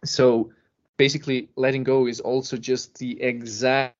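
A man explaining things casually as a podcast guest, with emphasis and pauses to find his words. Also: medium pitch, flat delivery. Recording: dull lo-fi podcast audio.

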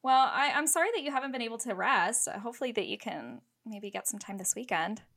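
Higher-voiced young woman, friendly co-host. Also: animated, quick pace, vocal fry, fairly bright tone. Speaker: podcast co-host. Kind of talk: casual chat.

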